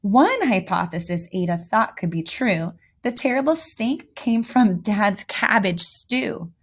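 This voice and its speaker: A woman's voice: mid-range voice, animated, takes it slowly, slightly rough. A woman reading a children's picture book aloud with theatrical emphasis and little asides to young listeners. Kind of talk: expressive read-aloud storytelling.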